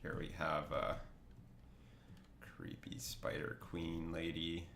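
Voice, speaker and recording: Deep-voiced guy drawing on stream, sniffly, rambly, echoey room, bit of hiss. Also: slow, vocal fry, a bit bright. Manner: rambling, many fillers and restarts. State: sniffly from a cold. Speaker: artist streaming a drawing session. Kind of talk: casual livestream chat while drawing.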